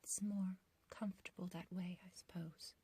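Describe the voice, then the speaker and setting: A woman playing an ancient, beautiful witch in an ASMR roleplay, obsessively loving and menacing, speaking in a breathy whisper with archaic, theatrical phrasing. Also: lowish voice, little pitch movement, mellow. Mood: bitter, proud. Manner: slow, theatrical, archaic wording, doting yet condescending.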